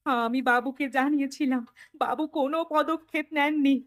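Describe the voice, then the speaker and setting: A woman's voice: high voice, fairly even intonation, moderate pace, no echo, clean studio sound, natural voice. A woman playing a grieving village mother in a horror cartoon, rallying others with dramatic urgency. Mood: grieving, urgent.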